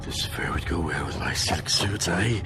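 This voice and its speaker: An actor playing a big, sly, menacing crime boss in a video game, drawling with a gravelly voice and questioning tags. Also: male voice, extremely low pitch, normal speed. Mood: smug menace. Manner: drawling, ends phrases with a questioning eh. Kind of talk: video game cutscene voice acting. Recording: loud music under the voice.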